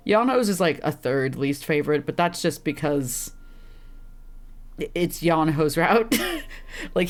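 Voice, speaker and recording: Woman streamer w a really low rough voice, kinda stuffy and hoarse, rambles and laughs at herself a lot. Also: darkish tone. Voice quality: deep, rough voice. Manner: rambling, self-deprecating, laughs often. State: congested, slightly hoarse. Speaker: streamer playing a visual novel. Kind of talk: casual gameplay commentary.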